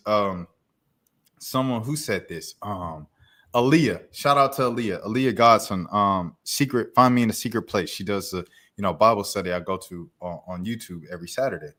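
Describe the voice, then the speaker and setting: A young man with a fairly low voice, chatting on a podcast. He rambles in a loose, slangy way, circling back with restarts and lots of filler words. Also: moderate pace, fairly bright tone. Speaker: podcast co-host. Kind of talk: casual chat.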